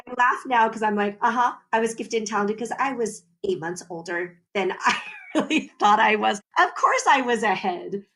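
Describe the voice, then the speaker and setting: A woman's voice: fairly high pitch, normal speed, fairly bright tone. A woman, a podcast guest, talking animatedly, explaining at length and often breaking into laughter. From United States. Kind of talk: conversational podcast interview.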